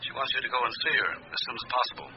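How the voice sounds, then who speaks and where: An actor playing an earnest, deferential junior police inspector reporting in a radio drama, slightly hesitant. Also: male voice, mid-range voice, rapid-fire, dull audio, close to an everyday voice. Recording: noisy band-limited radio broadcast recording.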